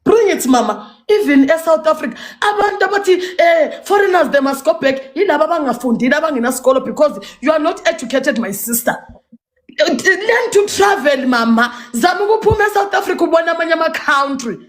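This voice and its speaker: A woman's voice: high voice, lively intonation, fairly quick. A woman with a Zimbabwean accent in a defiant, taunting social media rant, repeating her phrases emphatically.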